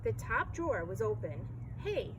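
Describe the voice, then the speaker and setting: A woman's voice: a little high, sing-song, measured pace. A woman reading a children's story aloud as narrator. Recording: clearly noisy background.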